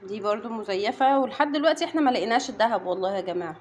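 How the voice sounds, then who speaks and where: A woman's voice: medium pitch, lively intonation, racing, background noise. A woman with an Egyptian accent, a mother chatting casually to her viewers while unboxing. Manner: chatty, addresses viewers directly. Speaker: mother and family vlogger.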